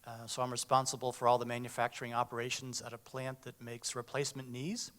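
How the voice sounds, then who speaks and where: A man's voice: medium pitch, little pitch movement, quick pace, a bit bright. A middle-aged man casually introducing himself to a live audience in a matter-of-fact way. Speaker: panelist, operations manager. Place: church panel before an audience.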